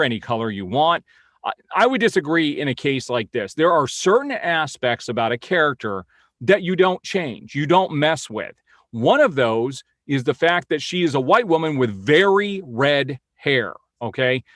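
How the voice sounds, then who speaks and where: A man's voice: a little high, a bit bright, no echo, studio quality. A man hosting an informal news update and opinion vlog, speaking in a blunt, opinionated way. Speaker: host of a comic-book film news vlog.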